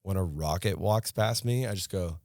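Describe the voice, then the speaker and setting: A man's voice: deep voice, moderate pace, dry recording, clean studio sound. A man co-hosting a podcast, talking bluntly with plenty of swearing in a casual rant. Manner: blunt, profane, animated ranting. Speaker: podcast co-host. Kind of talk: casual chat.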